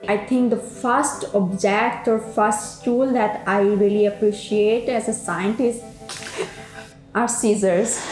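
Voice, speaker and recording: A young woman from Pakistan whose first language is Urdu, a doctoral researcher speaking reflectively about her life, with pauses and fillers. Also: fairly high pitch. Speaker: PhD researcher. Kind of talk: personal documentary narration. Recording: roomy with music underneath.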